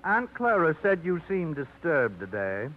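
Stuffy bachelor, fussy, rambling, lively pitch, muffled old radio sound. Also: male voice, measured pace, a little hiss or hum. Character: fussy stuffy middle-aged male bachelor, set in his ways. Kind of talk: 1950s radio drama acting.

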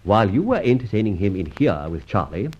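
Radio serial: suave, mock-courteous masked rogue, hugely animated delivery, slightly husky, pompous and teasing. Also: male voice, lowish voice, fairly quick. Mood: smug, explaining. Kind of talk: old radio serial acting. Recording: dull old radio recording.

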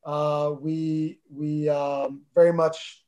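A man with a New Jersey accent, who spoke Armenian first, talking earnestly as an interview guest and pausing often with fillers. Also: a little high, little pitch movement, darkish tone. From New Jersey, USA. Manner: earnest, thoughtful, with many fillers and restarts. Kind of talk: casual interview talk.

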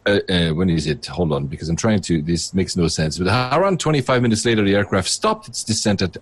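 A man's voice: fairly low pitch, husky. A man from Switzerland, a podcast co-host, chatting casually and rambling through a story with many fillers and restarts. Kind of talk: casual chat.

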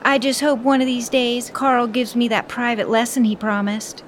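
A woman's voice: a little high, level tone, moderate pace, a little hiss or hum, lightly acted. An actress playing a flirty, chatty small-town woman in audio drama dialogue.

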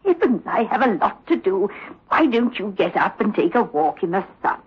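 Very husky, motherly aunt. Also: mid-range voice, big pitch swings, normal speed. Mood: reassuring. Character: fussing, soothing, motherly older aunt. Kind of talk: 1960s radio drama acting. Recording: muffled old-time radio recording.

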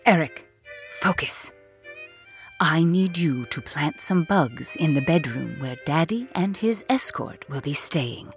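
Woman playing a slow, haughty, scheming rich heiress. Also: low-pitched, animated, faint music behind, full character voice. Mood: impatient scheming. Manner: affected, imperious, melodramatic. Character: haughty scheming rich daughter in telenovela parody. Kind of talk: comic audio soap opera acting.

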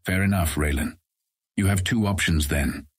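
A man playing a cold, stern ranger in a fantasy audiobook, speaking in a flat, husky voice with curt, formal commands. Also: low voice, normal speed, dry recording, clean studio sound. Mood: gruff, composed. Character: cold, stern ranger guarding the road, older than Raylan. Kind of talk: full-cast fantasy audiobook acting.

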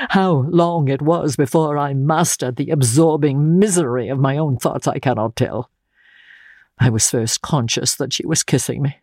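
A woman narrating a classic novel in the first person, reading dramatically in a measured, grave manner with weighted pauses. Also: low voice, darkish tone, dry recording, studio quality. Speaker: audiobook narrator.

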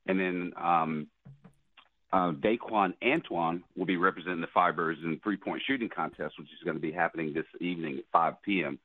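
A man giving team news in a steady, informative way. His voice is very deep. It is heard through a narrow, tinny phone line. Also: a little fast, no echo. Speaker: sports team representative. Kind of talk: casual radio chat.

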